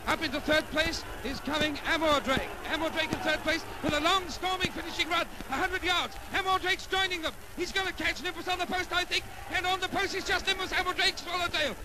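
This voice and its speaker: An excited, urgent man calling a horse race in a 1940s live radio style on an old outdoor broadcast recording. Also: extremely high pitch, fairly quick, few pauses. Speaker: horse racing commentator.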